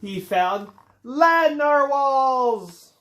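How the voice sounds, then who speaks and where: A man's voice: very high-pitched, extremely slow. Man reading a picture book aloud. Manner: relaxed reading with small slips and playful asides. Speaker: storyteller reading a children's book. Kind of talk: read-aloud children's story.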